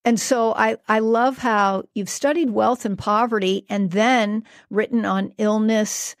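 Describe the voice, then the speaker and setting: A woman's voice: fairly high pitch, fairly even intonation, unhurried, dry recording, studio quality. A woman hosting an interview podcast, speaking fluently as she poses her questions. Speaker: podcast host.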